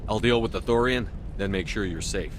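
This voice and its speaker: A man's voice: lowish voice, brisk, noisy background, lightly acted. A man playing a level-headed military commander, firm, controlled and terse. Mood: calm, resolute.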